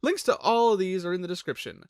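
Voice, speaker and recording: A man hosting a scripted promotional outro as a voice actor, in a showy, animated manner. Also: high-pitched, fast, smooth, no echo, studio quality. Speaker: channel host and voice actor.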